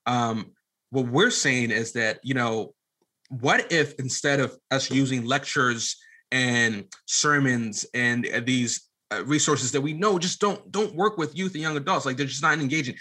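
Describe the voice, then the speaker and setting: Bright, forceful young man explaining his venture. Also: medium pitch. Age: late 20s. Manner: halting, repeats phrases and restarts often, emphatic. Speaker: podcast guest, media app founder. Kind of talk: conversational interview answer, explaining a venture.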